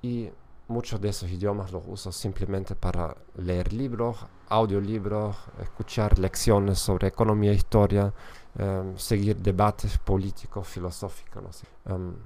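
Gravelly-voiced man. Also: on the low side, little pitch movement, unhurried, darkish tone, slight background noise. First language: German. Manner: hesitant, many fillers and self-corrections, tag questions. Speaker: podcast host and language teacher. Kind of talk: casual solo podcast talk.

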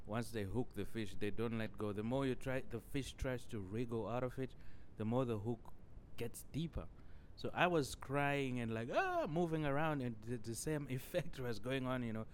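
A man's voice: mid-range voice, moderate pace, few pauses, a little hiss or hum. A man telling a childhood story on his own podcast, rambling and saying "you know" constantly. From Zimbabwe. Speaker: podcast host. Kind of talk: casual solo podcast storytelling.

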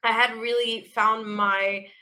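A woman with a naturally raspy voice that still rings bright and forceful, pitched a little high. She talks loosely as an interview guest, laughing easily and circling back with fillers and restarts. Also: flat delivery, slow pace. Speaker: rock band vocalist, guest. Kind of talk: casual interview chat.

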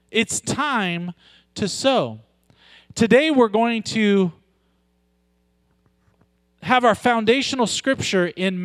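A man preaching a sermon with a loud voice, moving from joking, conversational asides to slow, weighty emphasis on scripture. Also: sits high, dry recording. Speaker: pastor.

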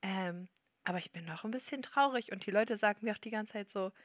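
A young woman co-hosting a podcast in casual chat, talking quickly and laughing often. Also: medium pitch, dry recording, clean studio sound. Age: young adult. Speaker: podcast co-host.

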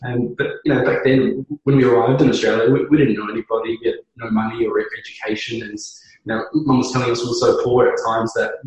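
A man's voice: mid-range voice, flat delivery, quick pace, very echoey, poor audio. A man in his thirties from New Zealand, a business co-founder talking earnestly as an interview guest, with many fillers and restarts. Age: 30s. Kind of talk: conversational podcast interview.

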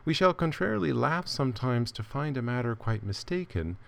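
A man reading a literary essay aloud with measured, deliberate phrasing. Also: medium pitch, animated, gravelly. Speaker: reader.

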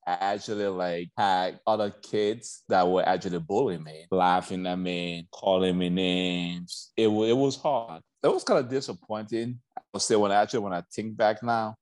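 A man's voice: sits low, steady tone, moderate pace, hardly any pauses, a little mellow, dry recording. A man in his forties from Liberia, reflecting on his life as a guest in a casual interview, with lots of "like" and "you know".

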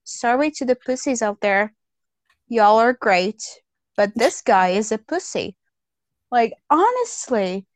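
A woman's voice: a little high, expressive, takes it slowly, no echo. A woman ranting bluntly and profanely in a casual chat as a podcast co-host.

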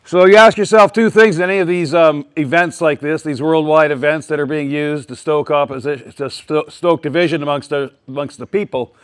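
A man addressing a live town hall audience with a loud, emphatic voice, rambling and often restarting his sentences. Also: fairly high pitch, steady tone, brisk, hardly any pauses. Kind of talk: public speech to a live audience. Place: public meeting with a live audience.

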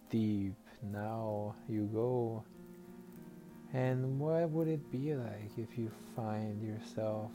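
Slow gravelly-voiced male hypnotist. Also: lowish voice. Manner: slow, soothing, suggestive. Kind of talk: guided hypnosis session.

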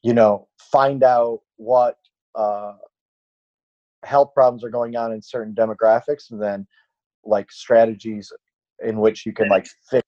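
A man's voice: lowish voice, little pitch movement, measured pace, mellow. Late-20s male trainer, casual rambling podcast talk, muffled lo-fi sound. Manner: rambling, many fillers and restarts. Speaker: fitness trainer.

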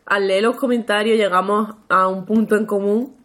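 Give me a young woman co-hosting a chatty podcast, playful and teasing, with a middle-pitched voice. She giggles easily, then turns thoughtful and precise when she makes her point. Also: steady tone, normal speed, fairly bright tone. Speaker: podcast co-host. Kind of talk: casual podcast chat.

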